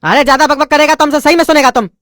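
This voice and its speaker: A woman's voice: high voice, racing, a bit bright, no echo, clean studio sound. A woman voicing an impatient male teacher who scolds and mocks his students, with a lilt. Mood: scolding. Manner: scolding, sarcastic.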